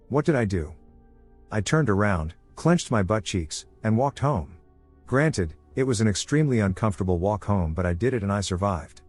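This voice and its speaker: A man reading scripted scary stories aloud in a measured way, slowing down for suspense, on a close studio mic. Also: lowish voice, darkish tone. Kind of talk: scripted horror story narration.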